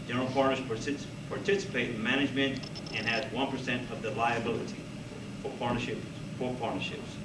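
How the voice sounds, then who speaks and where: A man giving an informal student presentation in a puppet show, halting and losing his thread. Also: mid-range voice, steady tone. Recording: echoey room, distant mic, noisy.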